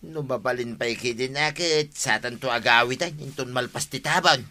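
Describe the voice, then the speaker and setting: A man acting an easygoing, excitable village husband in an Ilocano radio comedy drama, speaking with an Ilocano Filipino accent. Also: medium pitch, moderate pace.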